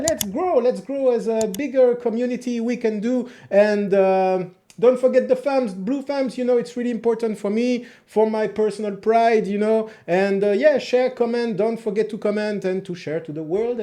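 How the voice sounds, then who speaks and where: The host of a small fan review channel, a French man with a French accent and a high voice, eagerly and casually sharing his picks with subscribers. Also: warm tone. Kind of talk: casual video review, talking to viewers.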